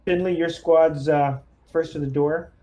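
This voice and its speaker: A man's voice: on the high side, steady tone, unhurried, mellow, a little hiss or hum. A man playing a tabletop roleplaying game, giving orders in an easygoing, casual chat style.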